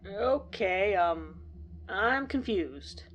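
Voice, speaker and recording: A woman's voice: mid-range voice, expressive, drawn-out words, a little hiss or hum. A woman voicing a confused, slightly annoyed young boyfriend who speaks hesitantly. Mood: puzzled.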